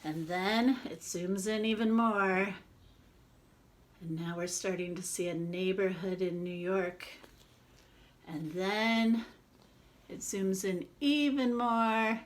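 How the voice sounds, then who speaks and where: A woman reading a picture book aloud to children, expressive, with casual chatty asides to explain it. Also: mid-range voice, slow pace, pauses often. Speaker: children's read-aloud presenter.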